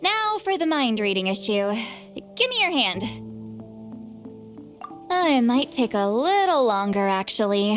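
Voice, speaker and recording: A woman's voice: on the high side, sing-song, unhurried, long pauses. Flustered young-sounding shaman. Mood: relaxed. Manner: hesitant, stammering, fussy. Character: young-looking ancient woman shaman, shy and flustered. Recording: game audio with faint music.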